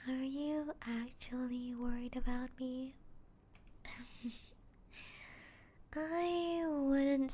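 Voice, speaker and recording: A woman voicing a seductive, teasing female vampire in an intimate ASMR roleplay, breathy and often close to a whisper. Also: fairly high pitch, mellow, exaggerated voice. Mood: sly, coaxing.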